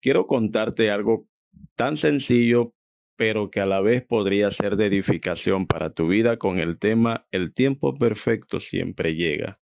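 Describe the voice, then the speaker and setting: A man from Venezuela with a Venezuelan accent, giving a reflective personal faith testimony in measured, halting phrases. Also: lowish voice, vocal fry, darkish tone. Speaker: church member giving a testimony.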